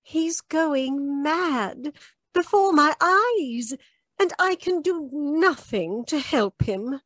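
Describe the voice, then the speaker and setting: Woman playing a high, swooping earnest Victorian gentlewoman. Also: lively intonation, extremely slow, no echo, full character voice. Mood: earnest, insistent. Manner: formal, earnest, emphatic. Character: clever, earnest, advanced young Victorian gentlewoman. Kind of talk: old-time radio mystery acting.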